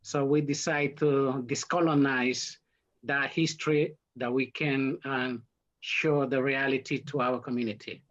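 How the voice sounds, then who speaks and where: A man from Honduras with a Garifuna accent, a native Garifuna speaker explaining history in an interview in halting English, searching for words. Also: mid-range voice, fairly even intonation, a bit bright. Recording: dull lo-fi sound.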